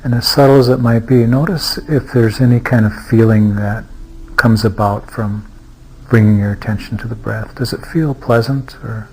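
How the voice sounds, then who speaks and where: A man leading a guided meditation as a teacher, speaking in an unhurried way with long pauses and hesitant restarts. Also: medium pitch, fairly even intonation, darkish tone, slight background noise, rough recording. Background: music.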